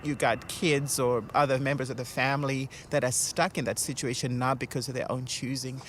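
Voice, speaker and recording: Man, earnest and reflective, mid-pitched voice, noisy background. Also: level tone, fairly quick, a bit bright. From Zimbabwe. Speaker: Salvation Army officer. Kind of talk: conversational interview answers.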